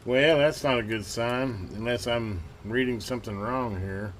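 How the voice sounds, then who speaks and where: A man giving casual hands-on commentary as a hobbyist host, halting and muttering to himself as he works. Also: mid-range voice, a little hiss or hum. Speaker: vintage radio hobbyist hosting a repair video.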